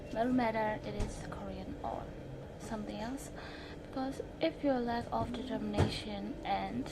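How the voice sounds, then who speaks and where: A teenage girl, a native Burmese speaker with a Burmese accent, presenting study tips in halting, short broken phrases. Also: mid-range voice, heavy background noise. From Myanmar. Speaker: language-learning vlogger.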